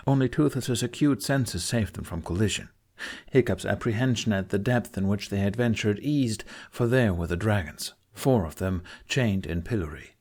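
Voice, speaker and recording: A man's voice: mid-range voice, darkish tone. A man reading scripted, dramatic fantasy narration as an audiobook narrator.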